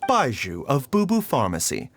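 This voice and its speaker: A man playing a composed physician in a video game, polite, soft-spoken and reassuring. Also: medium pitch, huge pitch swings, moderate pace, slight background noise. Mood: courteous. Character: courteous, composed male physician.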